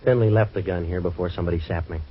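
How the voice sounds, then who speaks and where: A man plays a hard-boiled waterfront tough, deep-voiced and quick with a dry wisecrack. He narrates in clipped, sardonic lines. The old radio recording sounds dull and carries a faint hiss. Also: close to an everyday voice. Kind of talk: 1940s radio drama acting.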